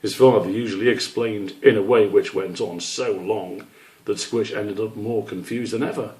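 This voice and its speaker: A man's voice: on the low side, normal speed, darkish tone. A man narrating a children's storybook as the author reading his own work.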